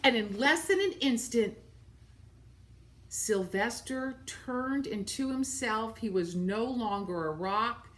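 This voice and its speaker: A woman's voice: on the high side, lively intonation, slow, a bit bright. A woman reading a picture book aloud to children, expressively, with simple explanatory asides about the pictures.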